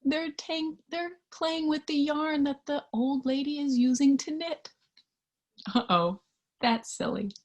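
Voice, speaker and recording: A woman reading a picture book to young children in a gentle, singsong way, sometimes hushed, asking the listener simple questions with childlike wonder. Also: fairly high pitch, slightly rough. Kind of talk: interactive children's read-aloud with questions to the listener.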